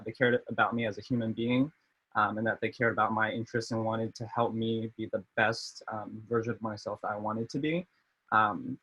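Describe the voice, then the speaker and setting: A man's voice: medium pitch, a bit bright, slightly rough, low-quality recording. Rambling young man on a video call. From Maryland, USA. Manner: rambling, many fillers and restarts. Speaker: medical student panelist. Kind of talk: casual panel talk.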